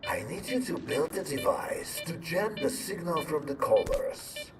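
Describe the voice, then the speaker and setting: An actor playing a grave, principled professor in a video game, speaking earnestly and insistently. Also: male voice, on the high side, huge pitch swings, unhurried, recorded from a distance, over loud music. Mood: urgent.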